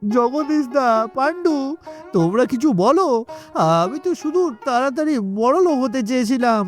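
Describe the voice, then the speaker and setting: A man's voice: very high voice, lively intonation, moderate pace. A young village cheat from a cartoon, cornered and cowardly. He stammers and begs in a pleading whine, with music playing behind him. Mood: remorseful pleading. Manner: stammering, begging.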